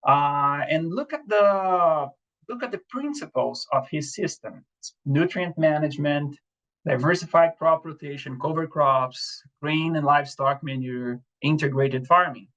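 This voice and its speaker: A man from Brazil with a Brazilian Portuguese accent, presenting a lecture over a video call in a relaxed way, with frequent fillers and restarts. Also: a little high, a little slow, muffled recording. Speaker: presenter, assistant professor. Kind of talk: webinar lecture.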